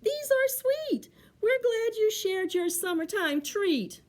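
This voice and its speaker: A little forest critter with a squeaky, sugary-sweet voice, put on by a woman reading a storybook. It is cheerful and polite, pitched very high. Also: very animated, slow, heavily acted. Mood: grateful, delighted. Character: small grateful forest animal friend, sweet and cheerful.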